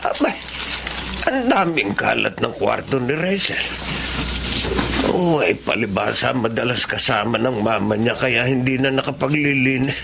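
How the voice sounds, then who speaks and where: A man playing a timid, apologetic old grandfather in a Filipino radio drama, muttering and stammering in a creaky, flustered way. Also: medium pitch, expressive, measured pace. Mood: muttering, puzzled. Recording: noisy radio drama audio.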